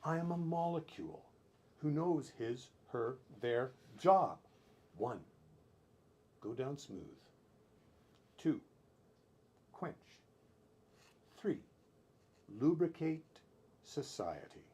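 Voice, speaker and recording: A man performing spoken-word poetry as a poet, with deliberate, theatrical delivery in short phrases broken by pauses, on a live-stream recording. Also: a little high, expressive, dark tone, breathy edge. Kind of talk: dramatic spoken-word poetry reading, with brief chatty asides.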